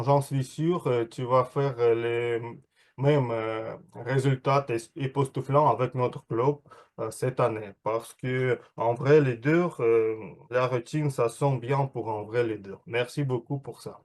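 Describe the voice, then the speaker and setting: A man's voice: medium pitch, slow pace, a little mellow. A man giving a spoken evaluation at an online speaking club, hesitant with many fillers. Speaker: meeting evaluator.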